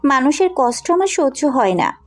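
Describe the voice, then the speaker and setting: Audio drama daughter: blunt, caring young woman played by a woman, animated high voice, music underneath. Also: moderate pace. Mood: insistent. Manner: blunt, insistent. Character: headstrong, compassionate young woman, outspoken businesswoman daughter. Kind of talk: Bengali audio story acting.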